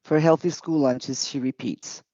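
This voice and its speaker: A woman's voice: rumbling low, steady tone, measured pace, warm tone, dry recording. A woman interpreting at a public hearing in short, hesitant, piecemeal phrases. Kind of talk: halting consecutive interpretation. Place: committee hearing. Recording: dull, muffled audio.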